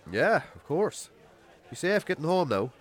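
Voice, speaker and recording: Jamesy, animated Irish country farmer. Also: male voice, fairly high pitch, a little slow, some chatter behind. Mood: easygoing, pleased. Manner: wry, colloquial storytelling. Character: Jamesy, rural bachelor farmer, self-deprecating storyteller on a date. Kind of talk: naturalistic radio drama acting.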